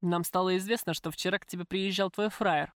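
A woman voicing a cool, cynical male gangster in an audiobook, with a flat, slangy, offhand manner. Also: lowish voice, brisk, dry recording, studio quality, close to an everyday voice. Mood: cool menace.

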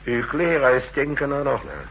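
An actor playing a cold, commanding secret police chief in a 1960s radio drama, speaking curtly and with authority. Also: male voice, mid-range voice, big pitch swings, normal speed, gravelly, fairly bright tone. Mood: cool, businesslike. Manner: curt, authoritative, clipped orders. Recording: noisy 1960s radio recording with hum.

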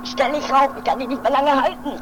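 An amateur radio-play performer voicing an anxious, self-reproaching mountaineer who mutters haltingly and repeats words. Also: sits high, steady tone, natural voice. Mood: strained, urgent. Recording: noisy homemade 1980s radio play recording.

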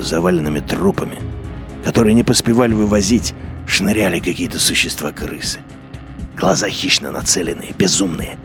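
An actor playing a bitter, haunted male turncoat officer in a radio play, brooding and moving between low mutters and sharp outbursts. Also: natural voice. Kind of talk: radio drama inner monologue. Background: music.

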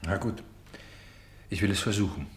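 Radio play narrator, male actor, lowish voice, measured and grave delivery, pronounced room echo. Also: slight background noise, lightly acted. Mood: hesitant. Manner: measured, solemn declamation. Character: narrator turned player, voicing cities, streets and houses. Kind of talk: German radio play acting.

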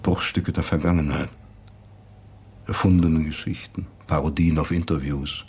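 An actor playing a sober, reflective man who deliberately recounts memories in a radio drama. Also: a bass voice, a little mellow, breathy edge, heavily acted. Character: man soberly recalling an absent friend's confidences. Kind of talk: radio play monologue.

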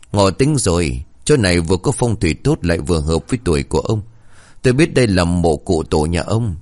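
A man's voice: deep voice, a little mellow. A man voicing a scheming middle-aged geomancer who talks insistently and persuasively.

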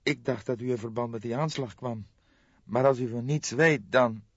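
A man voicing a distraught, helpless young woman in a tearful, halting way for an audiobook. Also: mid-range voice, husky, no room sound, muffled recording, pitched above the performer's own voice, lightly acted. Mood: distressed, helpless. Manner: wailing, halting, tearful delivery. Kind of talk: audiobook character voice.

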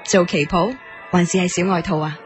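A woman's voice: fairly low pitch, fairly even intonation, fairly quick, close to an everyday voice. An actress with a Hong Kong Cantonese accent playing a courteous, affable young saleswoman in a radio drama. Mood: welcoming. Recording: music behind the voice.

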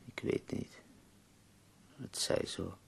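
An actor playing an ailing, melancholy but wry poet in a radio play, his voice gravelly, husky and weary. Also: slow, close to an everyday voice. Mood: weary, dejected.

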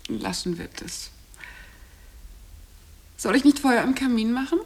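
An actress playing a cloying, pushy wife who meddles in a friend's marriage, sugary and patronizing in a stage drama. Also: female voice, fairly high pitch, lively intonation, unhurried, a little mellow, slight background noise. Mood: sugary, solicitous. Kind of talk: German stage-play dialogue acting.

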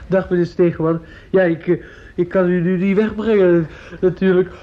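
A performer in a radio play as a sick fifteen-year-old boy, husky, polite, hesitant and eagerly admiring. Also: medium pitch, steady tone, moderate pace, natural voice. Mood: respectful. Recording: dull, lo-fi old radio recording.

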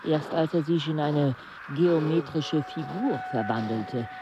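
An anxious, weary travel companion telling of a climb in a radio play. His voice sits a little high and moves very slowly and hesitantly. The recording is slightly muffled, with clear noise behind him. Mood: grave, uneasy. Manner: slow, hesitant, deliberate delivery. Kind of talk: radio play acting and narration. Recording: noisy, slightly muffled outdoor soundscape.

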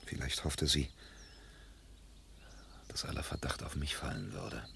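A man's voice: a bass voice, measured pace, creaky, in a whisper, heavily acted. An actor playing an embittered, humiliated man in a German radio crime drama, speaking in a bitter, self-deprecating way. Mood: brooding. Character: embittered, humiliated man suspected of murder, confessing.

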